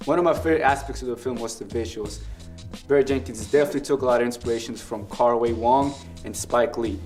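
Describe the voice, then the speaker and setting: A man's voice: mid-range voice, fast talker, darkish tone, soft background music. A young man with a Mexican accent hosting a casual film review, earnest and analytical about the film he loves. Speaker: film review show host.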